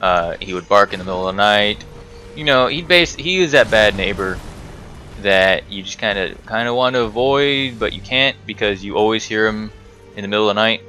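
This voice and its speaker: A man's voice: fairly low pitch, normal speed, sharp tone, soft background music. A man giving casual storytime commentary while playing a game, rambling, correcting himself and muttering swears at the game. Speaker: gaming video host telling stories while playing.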